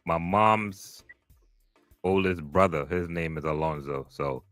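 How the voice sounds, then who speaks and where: A man with a very deep voice hosts a podcast in a relaxed, easygoing way, stumbling through questions with fillers. His tone is bright and forceful. The sound is dry and close, with faint music underneath. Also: takes it slowly, dry recording. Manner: relaxed, loose with fillers and restarts. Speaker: podcast host. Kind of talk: casual interview.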